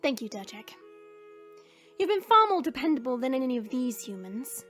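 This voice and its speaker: A woman's voice: high-pitched, very animated, normal speed, a bit bright, faint music behind. A woman playing a young female dragon in human form, polite but teasing, in an audio roleplay. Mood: polite, earnest.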